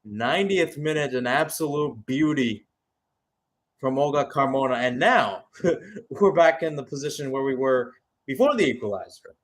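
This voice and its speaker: A man's voice: medium pitch, expressive, moderate pace. A man giving live radio-style play-by-play commentary on a football match, with exclamatory phrasing and rhetorical questions.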